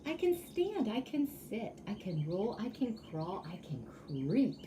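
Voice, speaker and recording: A woman voicing an earnest, excitable young male hermit crab from a children's storybook in exclaiming, sing-song rhyme. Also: medium pitch, measured pace, background noise. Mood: delighted, proud. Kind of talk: children's storybook read-aloud.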